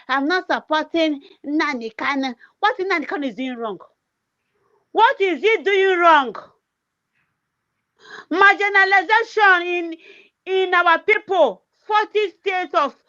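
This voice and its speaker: A woman's voice: very high voice, fairly bright tone, dull audio. A woman with a Nigerian accent, English not her first language, ranting passionately in a political livestream, repeating herself with many "eh" fillers. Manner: repetitive, emphatic, heavy filler "eh". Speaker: activist livestreamer. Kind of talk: impassioned political rant.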